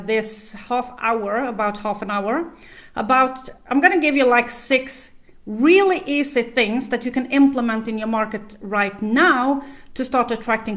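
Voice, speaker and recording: A middle-aged woman from Sweden with a Swedish accent, chatting to viewers as a business coach on a live stream, with many fillers. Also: fairly high pitch.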